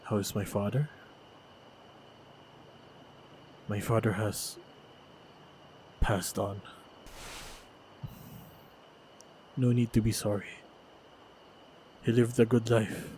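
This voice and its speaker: A man playing a calm, caring monster hunter in a fantasy audio roleplay, speaking in a measured, gentle way. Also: fairly low pitch, slight background noise, natural voice, low-quality recording. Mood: reflective, wistful. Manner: measured, deliberate, gentle storytelling delivery.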